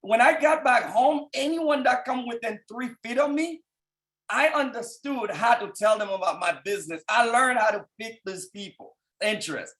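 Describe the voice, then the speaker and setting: Man, thirties, thick Haitian accent, fervent motivational speaker, climbs to shouts. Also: very high voice, moderate pace, low-quality recording. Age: 30s. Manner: fervent, rising to shouts at peaks. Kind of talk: motivational speech.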